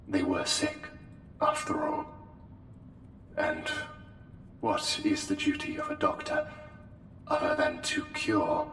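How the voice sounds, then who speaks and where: A man's voice: on the low side, full character voice. A man playing an unnatural plague doctor in an ASMR roleplay, formal, archaic and theatrical, courteous with an eerie menace. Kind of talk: ASMR roleplay, scripted monologue. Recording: echoey hall reverb, distant, music behind. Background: music.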